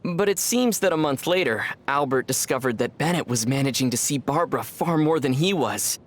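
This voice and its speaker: A man voicing a calm, thoughtful young adventurer in a video game, speaking in a measured, storytelling way with a wry edge. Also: mid-range voice, lightly acted. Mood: explaining.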